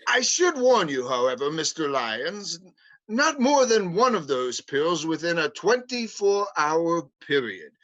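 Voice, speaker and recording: A man's voice: a little high, sing-song, unhurried, fairly bright tone, dull audio. Man reading a pompous hospital doctor. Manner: hesitant, stumbling over fillers. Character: pompous male hospital psychiatrist called the Great Oz. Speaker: play reader. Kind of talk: livestream script reading. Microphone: home mic on a livestream.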